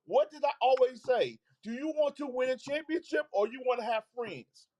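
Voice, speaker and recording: A man co-hosting a casual basketball live stream, talking in an animated way and repeating himself as he builds a point. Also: very high voice, normal speed. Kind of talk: casual sports talk.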